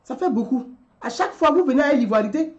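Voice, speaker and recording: A woman speaks in an animated commentary monologue, firing off and repeating rhetorical questions. Her voice sits somewhat high and swings widely in pitch. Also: fast, dull audio. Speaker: commentary vlogger.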